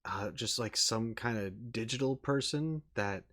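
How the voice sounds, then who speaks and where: A man's voice: medium pitch, unhurried, pushed and bright. A man giving casual, off-the-cuff reaction commentary as a video game host, hesitant and thinking aloud as he repeats words.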